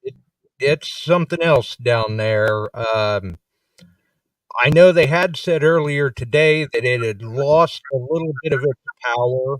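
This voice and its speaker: A man's voice: mid-range voice, measured pace, dry recording, distant mic, poor audio. A man co-hosting a casual live chat, mostly agreeing with short replies. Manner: agreeable, brief interjections.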